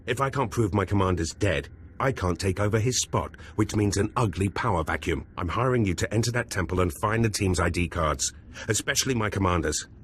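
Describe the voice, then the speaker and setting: A man's voice: sits low, fairly quick, a little hiss or hum, close to an everyday voice. An actor playing a brash, scheming male Imperial intelligence officer in a video game. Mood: cocky. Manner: cocky, conspiratorial.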